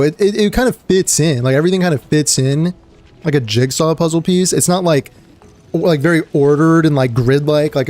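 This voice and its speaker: A man streaming a game, his voice a little gravelly and dry today, rambling casually and greeting viewers between trailing fillers. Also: on the high side, darkish tone. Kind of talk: casual live-stream chat.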